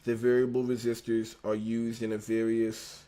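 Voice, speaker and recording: A man's voice: medium pitch, completely flat delivery, normal speed, dark tone, low-quality recording. A young man with a slight lisp, hosting a casual livestream and reading an engineering textbook aloud, stumbling and rereading lines. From United States. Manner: laid-back, slangy, stumbles and rereads lines. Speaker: livestream host studying engineering.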